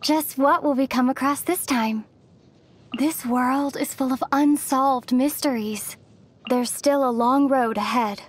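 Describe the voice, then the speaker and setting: A woman voicing a young, gentle, thoughtful female traveler in a video game. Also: high-pitched, steady tone, measured pace, slight background noise. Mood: pensive.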